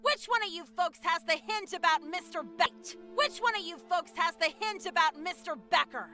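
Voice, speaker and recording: A woman voicing a loud, bossy animated cartoon character who fires out exclamations and questions. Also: extremely high pitch, moderate pace, faint music behind, exaggerated voice. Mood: insistent questioning. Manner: forceful, exclaiming. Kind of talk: cartoon voice acting.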